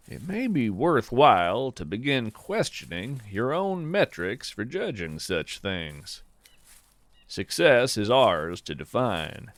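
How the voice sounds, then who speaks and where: A man hosting a podcast, reading his own reflective essay in a measured, musing way that lingers on phrases. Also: on the low side, fairly bright tone, no room sound. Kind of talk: slow reflective scripted narration.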